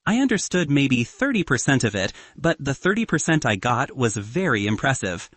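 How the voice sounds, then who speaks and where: A playful, joking young woman physicist, as a male narrator puts her on, husky and lively. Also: mid-range voice, moderate pace, no room sound.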